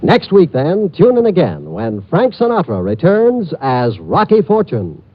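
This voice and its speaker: A man's voice: fairly high pitch, wildly swooping pitch, a little slow. A man announcing credits and a public service appeal in formal 1950s radio style, on an old lo-fi recording. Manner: smooth formal announcer delivery. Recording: dull lo-fi old radio transcription.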